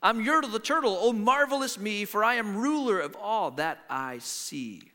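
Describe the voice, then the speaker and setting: A man's voice: high-pitched, animated, moderate pace, bright, forceful tone. A man voicing a pompous, boastful turtle king who bellows out commands in a storybook reading, in a hall with long reverb. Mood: gloating, triumphant.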